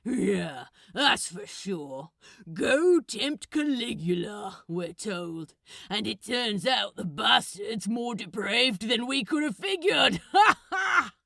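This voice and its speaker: A woman voicing a boorish, gloating male demon in a story, with a loud, coarse, mocking manner and a creaky voice. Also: fairly high pitch, animated, takes it slowly, dry recording, studio quality, full character voice.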